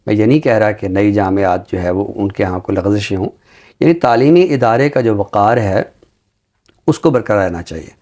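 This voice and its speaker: Middle aged pakistani guy, deep soft-edged mellow voice, talks formal and careful like a literary commentator. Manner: measured, formal, deliberate with literary vocabulary. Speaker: talk show presenter and literary commentator. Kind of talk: reflective commentary and poetry recitation on a literary show.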